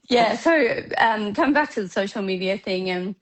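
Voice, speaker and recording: A woman with a croaky morning voice, a wellness guest speaking hesitantly with many ums from her apartment over a video call. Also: medium pitch. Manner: hesitant, full of ums and apologies. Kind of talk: casual interview chat. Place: apartment in Australia.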